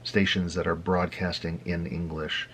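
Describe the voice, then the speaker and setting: A low-pitched American man presents an informal explainer in a conversational, slightly halting style. His delivery has a wry edge. Some background noise sits faintly behind the deep voice. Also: level tone, fairly bright tone, poor audio. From United States. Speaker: host of a hobby radio tutorial.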